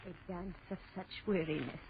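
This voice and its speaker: An actress playing a mysterious old woman, speaking slowly and gravely in a 1940s radio horror drama. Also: on the low side. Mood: ominous, weary. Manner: slow, foreboding, riddling. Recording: noisy dull old radio recording.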